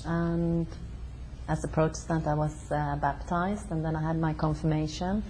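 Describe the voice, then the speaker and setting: Woman from Sweden, very low pitch, hesitant with fillers, faint background noise. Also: fairly even intonation, dark tone, rough recording. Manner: halting, hesitant, with many fillers. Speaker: interview guest. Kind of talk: conversational interview. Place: home.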